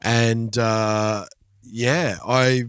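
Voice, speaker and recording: A man co-hosting a podcast, chatting casually and thinking aloud in halting phrases. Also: medium pitch, fairly even intonation, a bit bright, smooth, no echo, clean studio sound. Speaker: podcast host.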